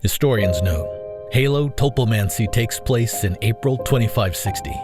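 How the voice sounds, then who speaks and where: A male narrator reads a scripted story introduction. His voice sits a little on the low side, with music clearly audible behind him. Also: lively intonation, moderate pace.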